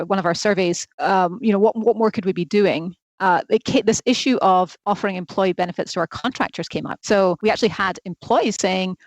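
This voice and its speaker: Middle-aged woman with softened Scottish accent. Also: mid-range voice, no echo, low-quality recording. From Scotland. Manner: earnest, articulate, explanatory. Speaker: marketing executive, interview guest. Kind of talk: conversational podcast interview.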